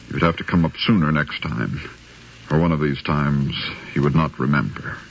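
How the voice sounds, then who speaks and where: A man's voice: extremely low pitch, normal speed, gravelly, a little hiss or hum. A man giving dramatic scripted narration in the manner of a 1950s radio drama. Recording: dull 1950s radio recording.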